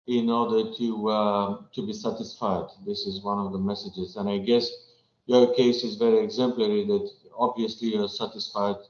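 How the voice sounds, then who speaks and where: A man's voice: lowish voice, a little mellow. Non-native English man moderating, roomy sound. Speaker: webinar moderator. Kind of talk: formal moderating and summing up. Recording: roomy, slightly dull webinar audio.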